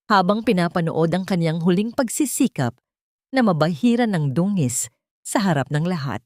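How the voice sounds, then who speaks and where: A woman's voice: on the low side, darkish tone, no echo, clean studio sound. A woman narrating a dramatic story from a script in a solemn, emotive manner.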